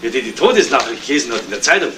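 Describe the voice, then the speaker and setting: Male actor for good-natured junior cop, Bavarian accent, brisk, stumbling delivery, noisy old radio drama recording. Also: mid-range voice, sing-song, lightly acted. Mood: defensive, flustered. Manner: colloquial, stammering, defensive. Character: good-natured, flustered, soft-hearted male junior policeman. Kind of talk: 1970s German radio crime drama acting.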